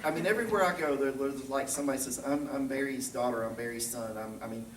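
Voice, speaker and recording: Male host, playful and joking, mid pitch, miked from a distance. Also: quick pace, over a noisy crowd. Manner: joking, teasing. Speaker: interview host. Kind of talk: interview conversation. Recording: roomy, distant mic, ambient noise.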